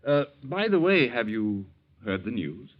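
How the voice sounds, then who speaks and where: An actor in a 1940s radio drama playing a nosy young bank teller, casually probing and falsely helpful, on a muffled old recording. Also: male voice, medium pitch, big pitch swings, measured pace. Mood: insinuating.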